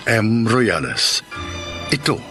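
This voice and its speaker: A man with a Filipino accent hosting a radio drama, narrating from a script in a measured, declamatory way. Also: fairly low pitch, big pitch swings, sharp tone, faint music behind. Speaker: radio drama host and announcer.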